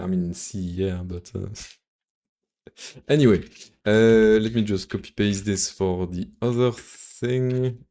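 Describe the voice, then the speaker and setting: French accent guy muttering thru a coding stream, really deep soft-edged voice, kinda hesitant n casual. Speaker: live-streaming programmer. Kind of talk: casual think-aloud coding commentary.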